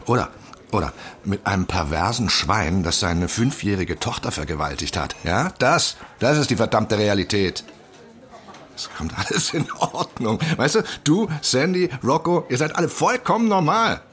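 An actor in a radio drama playing a brash, cynical friend who gives blunt, sweary, mocking advice. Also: male voice, lowish voice, expressive, normal speed, fairly bright tone. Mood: grim, forceful, then probing. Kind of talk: naturalistic radio drama acting.

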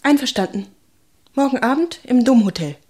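Self-possessed young stenotypist, fairly high voice, brisk, radio drama. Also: female voice, lively intonation, a little mellow, a little hiss or hum. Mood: cool. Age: young adult. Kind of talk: German radio play acting.

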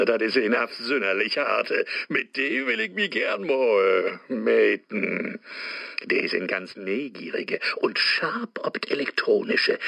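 An actor playing a sly male devil who gives crafty advice, speaking with a Low German accent in a drawling, knowing way, in a radio play. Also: creaky, fairly bright tone, no echo, exaggerated voice.

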